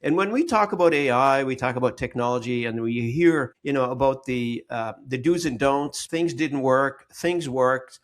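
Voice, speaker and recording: Man, podcast host, mid-pitched voice, conversational interview style, halting and rambling phrasing.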